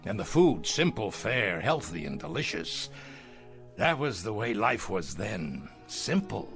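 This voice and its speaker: An actor playing a shrewd, restless, boastful old tycoon in 1960s television drama, with theatrical, emphatic delivery. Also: male voice, on the low side, sing-song, fairly bright tone, heavily acted. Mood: wistful, nostalgic. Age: older. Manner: theatrical, blustering, chuckling, halting then emphatic. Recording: old TV soundtrack with background music.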